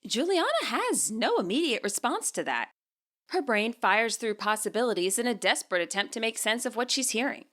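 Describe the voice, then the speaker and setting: A woman narrates a scripted story of her own. Her voice is bright and forceful, on the high side, and moves expressively up and down as she reads. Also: very animated, normal speed. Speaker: author and narrator.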